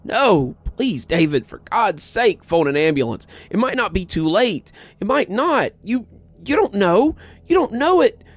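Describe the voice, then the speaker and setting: A man's voice: high-pitched, sing-song, measured pace, pitched above the performer's own voice, heavily acted. A man voicing a desperate, terrified mother in a horror story, tearfully pleading with her husband. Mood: panicked pleading.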